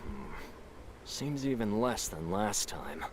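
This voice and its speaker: A gruff shady customer in a video game, low-pitched and slow. He grumbles dismissively, never impressed, over faint ambience. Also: sing-song, background noise. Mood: dismissive, irritated. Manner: grumbling, unimpressed.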